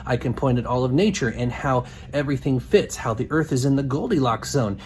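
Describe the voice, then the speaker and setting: A man's voice: medium pitch, fairly quick. A man speaking as a religious apologist in an argumentative, insistent and assertive way. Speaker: religious apologist, video maker. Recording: clear background noise.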